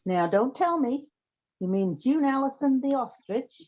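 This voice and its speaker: Wry, matter-of-fact heroine detective played by a woman, radio comedy, expressive intonation, slightly dull audio. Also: sits high, a little slow. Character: wry, practical woman detective seeking odd jobs. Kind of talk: comic old-time radio play acting.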